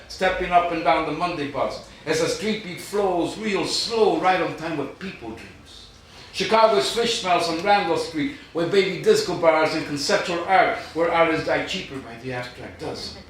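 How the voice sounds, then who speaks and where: A man's voice: on the high side, normal speed, a bit bright. A man reading his poetry aloud at a live event, with an informal, self-deprecating, rhythmic delivery. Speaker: poet. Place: live event room in Chicago. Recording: distant mic, very echoey noisy room.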